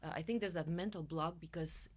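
Middle-aged woman, Polish accent, lowish pitch, effusive, chatty, casual interview guest. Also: brisk. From Poland. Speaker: podcast guest, musician and coach.

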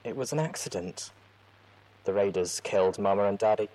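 A man reading a soft, worried young mare. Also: on the low side, moderate pace, close to an everyday voice, low-quality recording. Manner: soft, hushed letter reading.

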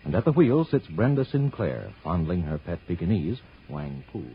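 A man's voice: sits low, very animated, normal speed, vocal fry, very noisy. A man announcing and narrating a script in 1940s radio style. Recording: muffled old radio broadcast with music.

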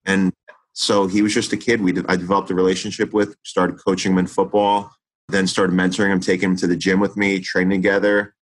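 A man's voice: sits low, level tone, fairly quick, low-quality recording. A man in his forties, a teacher, talking earnestly and reflectively in an interview, often restarting his sentences. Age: 40s. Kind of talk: interview conversation.

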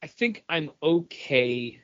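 A man's voice: fairly high pitch, animated, slow. A man co-hosting a podcast, talking in a rambling, enthusiastic fan's way in casual review chat. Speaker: podcast co-host. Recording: dull, lo-fi podcast audio.

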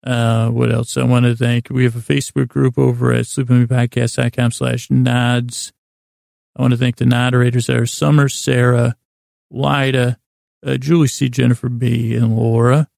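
A man with a heavily creaky, gravelly voice and a mellow, soft-edged tone hosts a bedtime podcast. He meanders through a self-deprecating monologue, pausing and circling back in a lulling way. The recording is close and dry. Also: mid-range voice, flat delivery, dry recording. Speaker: podcast host.

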